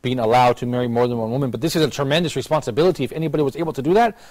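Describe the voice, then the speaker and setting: A man's voice: medium pitch, very fast, darkish tone. An American man hosting a talk show in a casual, conversational style. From United States. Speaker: live talk show host.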